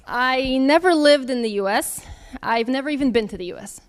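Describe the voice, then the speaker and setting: A woman's voice: high voice, normal speed, a little hiss or hum. A woman in her thirties answering audience questions in a candid, conversational way, thinking aloud as she goes. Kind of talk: casual Q&A talk.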